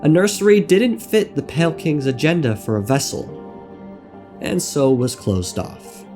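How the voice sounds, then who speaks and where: A man narrating a scripted game lore video essay in a wry, theatrical manner with dramatic pauses. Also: fairly high pitch, sing-song. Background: music.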